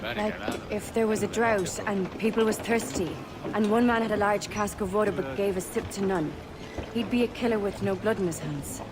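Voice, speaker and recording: A woman's voice: medium pitch, moderate pace, lightly acted. Woman playing a blunt pirate crewmate, noisy surroundings. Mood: curious. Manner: plain-spoken, colloquial. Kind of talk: video game cutscene acting.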